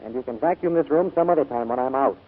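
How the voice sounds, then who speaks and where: An actor playing a polite, genial gentleman employer with a courteous, slightly hesitant manner in a 1940s radio play. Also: mid-range voice, quick pace, close to an everyday voice. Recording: tinny, noisy 1940s radio recording.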